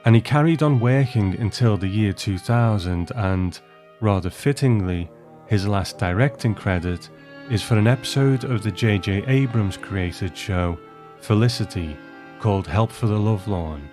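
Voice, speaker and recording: A man narrating a scripted commentary on television episodes in a measured, explanatory way, as the host. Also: lowish voice, a little mellow, soft background music. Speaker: host of a commentary show on an old TV series.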